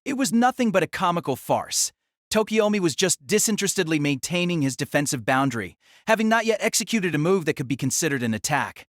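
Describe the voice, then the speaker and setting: Male narrator for dramatic novel narration, slightly high register, close dry recording. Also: big pitch swings, fairly quick, no echo.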